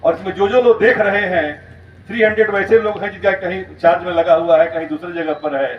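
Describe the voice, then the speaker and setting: A man's voice: high voice, fairly even intonation, fast talker. A man speaking as a school principal, giving an emphatic, step-by-step explanatory lecture in storytelling style. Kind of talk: motivational school lecture, storytelling about current events. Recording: roomy, distant, muffled, noisy recording.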